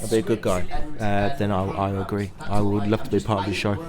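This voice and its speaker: A man's voice: lowish voice, level tone, fast talker, a little mellow, soft background music. A man in playful, casual chat as an interview guest. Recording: busy crowd chatter behind. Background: crowd noise.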